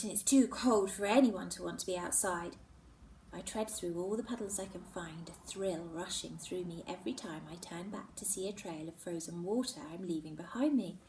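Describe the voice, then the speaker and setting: Woman, storybook narration, expressive, hushed whispers at times, roomy echo, a bit off-mic. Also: lowish voice, far from the mic, slight background noise. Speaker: children's book reader. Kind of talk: first-person storybook narration.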